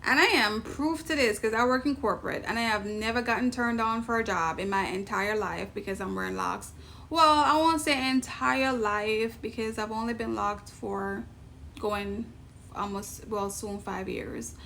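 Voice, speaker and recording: A woman's voice: mid-range voice, normal speed, gravelly, sharp tone, slight background noise. A woman from Jamaica with a Jamaican accent, chatting casually to camera in her vlog, often laughing and adding emphatic asides. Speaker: vlogger.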